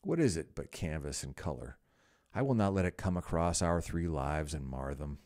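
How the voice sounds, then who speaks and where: A man's voice: very deep voice, lively intonation, moderate pace, darkish tone. A man voicing an earnest, devoted painter who pleads with a friend. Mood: bitter.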